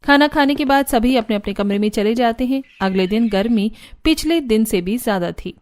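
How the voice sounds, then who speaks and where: A woman's voice: fairly high pitch, normal speed, no room sound. A woman giving scripted narration for a cartoon family story.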